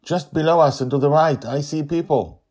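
A man's voice: on the high side, brisk, a little mellow, voice pushed up, natural voice. A man voicing an alert young woman who points things out from a ship.

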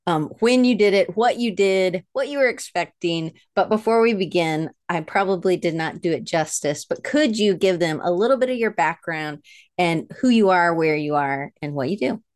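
A woman with a slightly low voice hosts a live online show. She talks in a chatty, effusive, informal way, often doubling back to correct her wording. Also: normal speed. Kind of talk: enthusiastic, informal guest introduction.

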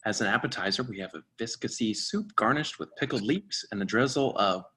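A man playing a bright, husky formal waiter. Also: on the low side, animated, brisk, exaggerated voice. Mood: politely formal. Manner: formal, polite, recited. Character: formal restaurant waiter reciting fancy specials. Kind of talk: staged screenplay reading.

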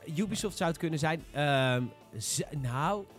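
A man's voice: a little high, animated, normal speed, soft background music. A man in a casual, opinionated gaming discussion, emphatic, repeating phrases and swearing. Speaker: gaming podcast panelist.

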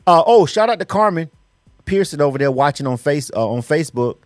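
A man's voice: a little high, animated, brisk, no echo, studio quality, soft background music. A middle-aged man from Florida hosting a radio interview, loose and slangy, rambling and peppering his talk with casual asides. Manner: loose, slangy, rambling with frequent "you know what I'm saying". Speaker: radio host.

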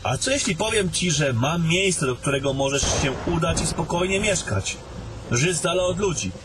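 A man's voice: on the high side, expressive, normal speed, background noise, close to an everyday voice. A man playing a travelling adventurer in a fantasy audio drama. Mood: persuasive. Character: fantasy adventurers, gruff travelling men and a mage.

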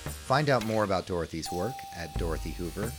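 A man's voice: sits low, expressive, a little fast. A man reading a scripted programme introduction as the announcer. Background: music.

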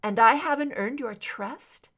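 A woman's voice: a little high, a little slow, a bit bright. A woman reading a weary, proud female agent in an audiobook, clipped, guarded and defensive. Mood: hurt, challenging. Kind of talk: dramatic audiobook character dialogue.

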